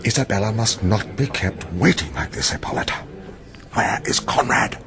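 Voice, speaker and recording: A man's voice: on the low side, normal speed, lightly acted. A British actor playing an imperious, irritable prince and father in a radio drama. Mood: stern, impatient. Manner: commanding, irritable. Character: imperious, impatient prince, father of a teenage son.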